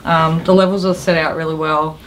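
A woman's voice: low-pitched, flat delivery, fairly quick, slight background noise, poor audio. A woman co-hosting a podcast, chatting casually about video games in an informal, hesitant way with trailing thoughts. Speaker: podcast co-host.

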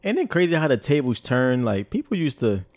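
A man's voice: medium pitch, big pitch swings, fairly quick, a little mellow, no echo, clean studio sound. A man co-hosting a podcast, talking in a slangy, reflective way with lots of hesitant restarts. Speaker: podcast co-host. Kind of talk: casual podcast chat.

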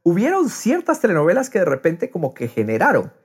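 A man's voice: high-pitched, huge pitch swings, a little fast, breathy edge. A man with a Latin American accent chatting casually and expressively on a podcast, often repeating words as he thinks aloud. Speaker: podcast host.

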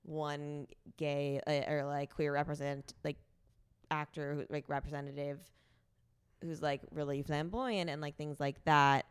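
Woman hosting a casual podcast, chatty, low voice, super dry close sound, says like a lot. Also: level tone, measured pace, clear, resonant tone, dry recording. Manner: chatty, informal, liberal use of "like". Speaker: podcast host. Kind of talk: casual in-person interview.

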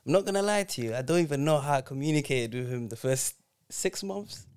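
A man's voice: mid-range voice, expressive, brisk, a little mellow, clean tone. A man from Zambia, chatting casually with slangy, laid-back speech and frequent laughter. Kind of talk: casual chat.

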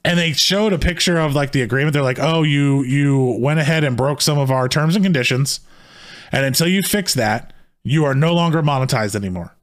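A man co-hosting a casual podcast, talking in a heated, rambling way with many restarts, his voice slightly hoarse. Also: on the high side, fairly quick. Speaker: podcast co-host.

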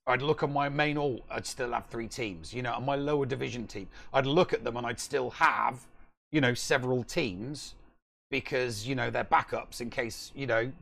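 Male gaming podcaster, gravelly fry in the voice, conversational, leans on repetition to make points. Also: mid-range voice, moderate pace, fairly bright tone. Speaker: podcast co-host. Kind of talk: casual gaming podcast chat.

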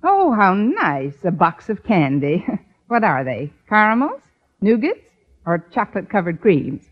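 An actress playing a wry, sarcastic American schoolteacher posing as a haughty mistress in a 1950s radio sitcom. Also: female voice, mid-range voice, big pitch swings, measured pace. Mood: dryly teasing. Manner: dry, wisecracking, arch. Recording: dull old radio recording, audience, noise.

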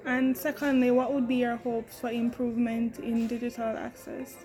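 A woman interviewer at a conference, asking clear, projected questions outdoors. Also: fairly high pitch, level tone, moderate pace, darkish tone, far from the mic, faint music behind. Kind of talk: event interviews.

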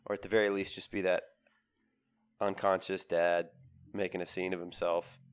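A very low, smooth male voice, thickened by a cold. He co-hosts a casual sports podcast and talks in an animated, emphatic way, often repeating his points. He sounds like a man in his thirties. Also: moderate pace. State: has a cold, voice lower than usual. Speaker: podcast co-host.

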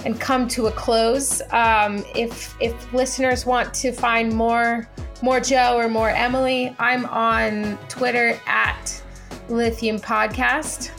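A woman's voice: a little high, level tone, takes it slowly, fairly bright tone, faint music behind. A woman co-hosting a podcast in a casual, playful and upbeat way. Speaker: podcast co-host.

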